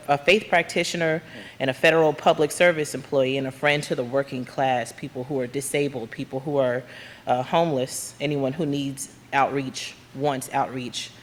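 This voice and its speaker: A woman from Georgia, a labor activist, giving a loud and earnest talk from the heart to a church congregation. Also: very deep voice. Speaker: guest speaker, labor union activist. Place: church sanctuary. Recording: long hall reverb, faint room noise.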